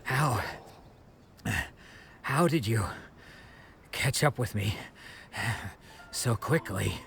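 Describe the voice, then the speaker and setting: A man voicing a proud, embittered middle-aged wandering swordsman in a video game, with a measured, reflective way of telling his story. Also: medium pitch, animated, a bit bright, a little hiss or hum, heavily acted. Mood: startled, wary. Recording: clear music under the voice.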